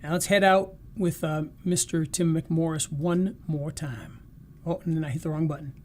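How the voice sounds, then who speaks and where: High-voiced man hosting a livestream. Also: steady tone, moderate pace. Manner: loose and rambling, frequent restarts. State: starting to lose his voice. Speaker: host of a live marketing session. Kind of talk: casual live-stream wrap-up.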